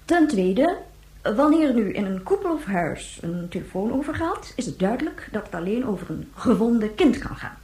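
Female head of government, science fiction radio play, measured official phrasing, highish animated voice, composed. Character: composed, formal female president of a moon colony. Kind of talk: radio play acting as a news interview. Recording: roomy, echoing sound.

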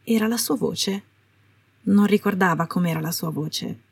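A woman's voice: on the low side, a little slow, gravelly, slightly rough. A woman reading a scripted story aloud as a dramatic narrator. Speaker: podfic narrator. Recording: roomy, distant microphone.

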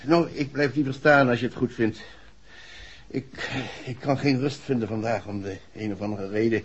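An actor playing a proud, uneasy husband, husky, formal and emphatic, in a 1960s radio play. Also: male voice, mid-range voice, steady tone, normal speed, natural voice. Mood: stiffly apologetic. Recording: dull old radio recording.

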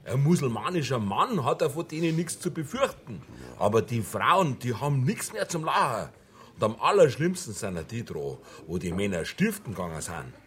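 An actor playing an indignant, opinionated Bavarian pub regular in a radio crime play, ranting bluntly in rustic dialect. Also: male voice, mid-range voice, big pitch swings, normal speed, fairly bright tone, slight background noise.

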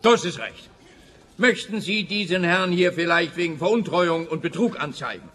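A sharp-voiced, officious policeman. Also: high voice, expressive, normal speed. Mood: exasperated. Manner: officious, pedantic, blustering. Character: officious, exasperated male police officer, pedantic bureaucrat. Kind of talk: comic radio play dialogue. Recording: roomy long reverb, faint background noise.

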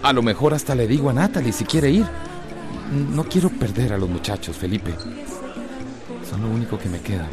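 A man's voice: mid-range voice, normal speed, lightly acted. An actor playing a reserved middle-aged father in a radio drama, speaking hesitantly with a Costa Rican accent. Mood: earnest, familiar. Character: reserved middle-aged father trying to mend his family. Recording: radio drama with faint music behind.

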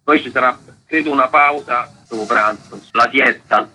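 A man's voice: mid-range voice, moderate pace, pushed and bright. A man, an event organiser, chatting casually and informally as an interview guest. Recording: clearly noisy location background. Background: ambient noise.

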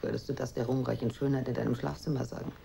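A woman's voice: extremely low pitch, level tone, fast, vocal fry, warm tone, breathy edge, exaggerated voice. An actress in a German radio drama playing a jealous, restless married woman who needles others in an intimate, pointed way. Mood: bitter, irritated.

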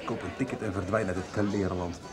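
An actor playing a hard, scornful criminal in a radio soap, speaking in a blunt, dismissive, sardonic way. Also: male voice, low-pitched, fairly even intonation, quick pace, over music, close to an everyday voice. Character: hard, scornful criminal man planning to flee abroad. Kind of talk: 1990s Dutch radio soap acting.

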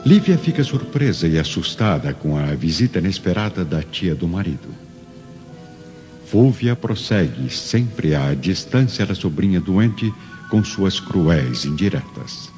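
A man's voice: low voice, very animated, a little slow, darkish tone. A man with a Brazilian accent reading dramatic scripted narration for a radio drama. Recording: hall reverb, dull audio, music underneath.

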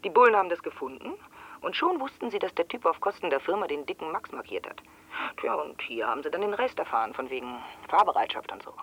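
Sardonic, animated woman on a call. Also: lowish voice, fast talker, slightly rough, exaggerated voice, low-quality recording. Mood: dryly mocking. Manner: dry, mocking, ironic. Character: sardonic, teasing workplace confidant on the phone. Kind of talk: naturalistic radio drama acting.

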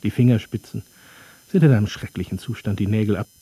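An actor playing a brooding, sardonic narrator in a literary radio play, speaking in terse, dry, deliberate fragments. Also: male voice, lowish voice, mellow, husky, no room sound. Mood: wry, observing.

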